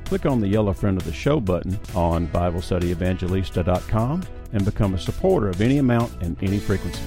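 A man's voice: sits low, expressive, fast. A man reading a scripted show announcement as an announcer. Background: guitar music.

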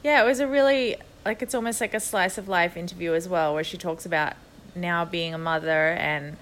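A woman with a low-pitched voice chats casually as a podcast co-host. Ambient noise is audible behind her conversational delivery. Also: a bit bright. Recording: clear background ambient noise.

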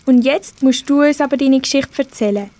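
A woman's voice: sits high, fairly quick, warm tone, natural voice. A teenage girl with a Swiss German accent playing a caring, mildly scolding girl in an amateur radio play. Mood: curious.

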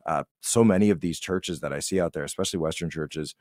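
Low-pitched man, emphatic political talk. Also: fast talker, a little mellow, dry recording, studio quality. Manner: emphatic, repeats phrases for effect. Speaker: podcast guest and political commentator. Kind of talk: conversational political commentary.

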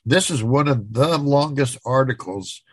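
A man from Florida co-hosting a casual talk show, retelling a news article in a halting, rambling way. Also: medium pitch, lively intonation, dry recording. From Florida, United States.

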